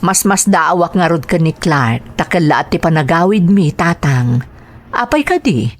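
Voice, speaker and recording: A woman's voice: lowish voice, big pitch swings, normal speed. A woman with a Filipino accent playing an anxious middle-aged mother and longing wife, pleading and emotional in an audio drama. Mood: puzzled. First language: Ilocano.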